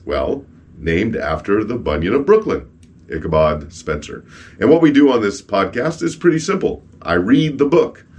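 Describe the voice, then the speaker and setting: A man with a rough, froggy voice from a cold hosts a podcast, chatting casually with joking asides and reading stories aloud. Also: low-pitched. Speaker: podcast host and street evangelist.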